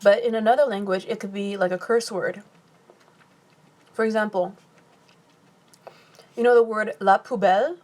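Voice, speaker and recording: A woman's voice: mid-range voice, fairly even intonation, darkish tone. A young woman from California, casually answering viewer questions on a phone live stream while eating. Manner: chatty, reads comments aloud and answers with quick laughs.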